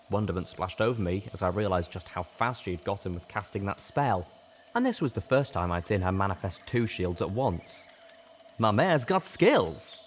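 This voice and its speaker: A man narrating a scripted first-person story in a dramatic, deliberate storytelling manner. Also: low voice, slight background noise. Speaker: audiobook narrator.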